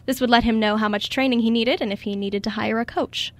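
A woman's voice: fairly high pitch, fairly even intonation, a little fast, no echo. A woman reading scripted story narration as the narrator of a radio drama.

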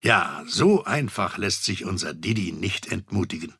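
A man's voice: sits low, sing-song, measured pace, sharp tone, slightly rough, heavily acted. A middle-aged man narrating a children's audio adventure as a genial storyteller. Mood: genial, wry. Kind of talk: scripted narration.

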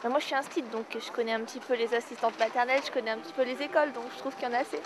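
A young woman, a schoolteacher, answering a street interview outdoors, quick and affirming. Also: fairly high pitch, fairly even intonation, background noise. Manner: quick, affirming, repeats yes.

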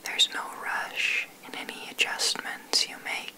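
A woman guiding an ASMR sleep meditation in a breathy whisper, soothing and gentle, unhurried with long pauses. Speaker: meditation guide, ASMR host.

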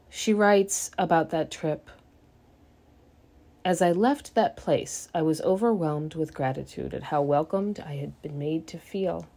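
A woman giving a scripted sermon reading, measured and deliberate, with emphatic pauses. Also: low-pitched, mellow. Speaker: service speaker.

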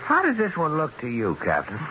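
An actor playing a skeptical, terse police sergeant in a 1970s radio drama, clipped and matter-of-fact. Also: male voice, on the high side, wildly swooping pitch, fairly quick. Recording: dull, noisy old radio broadcast.

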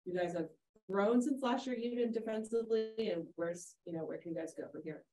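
Female reporter, relaxed dark tone, putting questions at a remote press conference. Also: mid-range voice, brisk, low-quality recording. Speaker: sports reporter. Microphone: video call.

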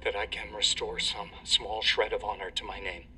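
A man's voice: lowish voice, flat delivery, normal speed, bright, forceful tone, distant mic, soft background music. A man acting a guilt-ridden, bitter scientist making a solemn, emphatic confession in a video game.

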